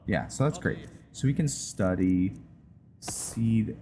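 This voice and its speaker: A man casually commenting on his roleplay playthrough of a game, thinking aloud with hesitant pauses, game music behind him. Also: fairly low pitch, crowd noise. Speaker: gamer narrating a roleplay playthrough.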